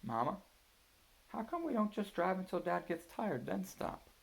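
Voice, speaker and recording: A man's voice: high voice, very animated, measured pace, creaky, pitched above the performer's own voice, exaggerated voice. A man voicing a curious young boy asking his mother questions.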